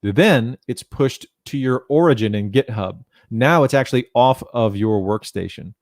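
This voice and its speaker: A man in his thirties presents technical material with a mid-range voice. His delivery is measured and instructional, like a presenter walking through a process step by step. The sound is dry, captured on a headset in a small room. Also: medium pitch, no room sound. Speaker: presenter, documentation content developer. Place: small indoor room, home video call.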